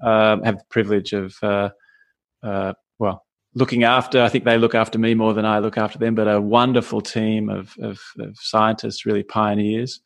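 A middle-aged Australian man with a gravelly voice, a scientist guest on a podcast, talking with many hesitations and restarts. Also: lowish voice, level tone, normal speed. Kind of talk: podcast interview.

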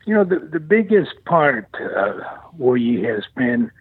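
A man in his sixties, originally from the former Yugoslavia, answering radio interview questions in a measured way with frequent fillers and restarts. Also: medium pitch, sing-song, husky, dry recording. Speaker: guest, nonprofit chief executive. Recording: tinny dry phone line.

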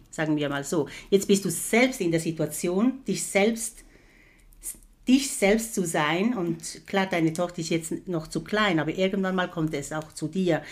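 Middle-aged mother, lowish voice. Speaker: podcast guest, host's mother. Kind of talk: casual podcast chat.

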